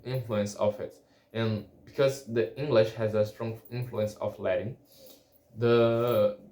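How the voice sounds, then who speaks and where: A man whose first language is Portuguese, talking casually in a solo vlog about learning a language, halting and restarting often. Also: medium pitch, darkish tone. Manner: halting, many restarts and fillers. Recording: echoey room.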